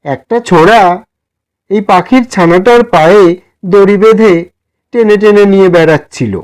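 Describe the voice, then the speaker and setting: A timid, humble gentleman from a storybook, acted out by a male narrator. He is poor and kind, and pleads politely in a raised, high voice. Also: unhurried, clear, resonant tone. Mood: earnest, concerned. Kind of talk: dramatised storybook dialogue.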